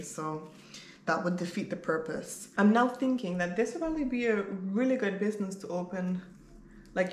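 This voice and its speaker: A woman in her thirties chatting casually to camera as a vlogger, candid and rambling. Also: fairly low pitch. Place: bare-walled room at home, indoors. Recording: roomy echo, clear background noise.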